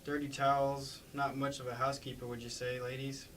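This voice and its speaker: A man's voice: medium pitch, level tone, fairly quick, a bit bright, close to an everyday voice. A man playing a condescending, sarcastic county attorney with a patronizing, probing manner in an amateur staged play reading. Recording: distant mic with faint background noise.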